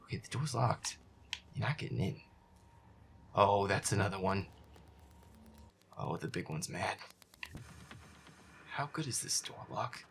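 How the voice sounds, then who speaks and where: A man muttering irritably and swearing to himself as he gives commentary on a horror game, his voice breathy and often half-whispered. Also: low voice, lively intonation, measured pace, pauses often, a bit bright, a little hiss or hum. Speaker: gamer playing in character.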